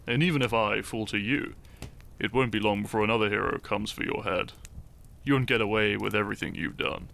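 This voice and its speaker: A man playing an earnest, shy young hero in a roleplay audio drama, speaking to the listener in a halting, theatrical way. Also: on the low side, vocal fry, pushed and bright. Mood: defiant. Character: shy young hero, earnest, secretly fond of his foe.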